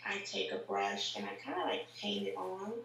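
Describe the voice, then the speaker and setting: A woman with a slightly raspy, tired voice after a long workday, chatting casually with lots of fillers as she explains each step of a makeup tutorial. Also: mid-range voice, huge pitch swings, moderate pace, a bit bright, far from the mic. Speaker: makeup vlogger. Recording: roomy echo, voice set back from the mic, faint hiss.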